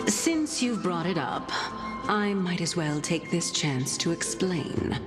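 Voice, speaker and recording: Low, unhurried, scheming noblewoman. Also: lively intonation. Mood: teasing, calculating. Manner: measured, formal, teasing, breaks into hushed phrase endings. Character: elegant, scheming noblewoman, coy and self-assured. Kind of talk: game character voice acting. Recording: game stream with music underneath.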